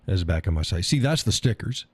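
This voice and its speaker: Low-voiced man, stuffy, rambling. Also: expressive, fast talker, breathy edge, dry recording. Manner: rambling, folksy, reads chat aloud. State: stuffy nose. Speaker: live stream host. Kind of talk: casual live stream chat. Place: home workshop room.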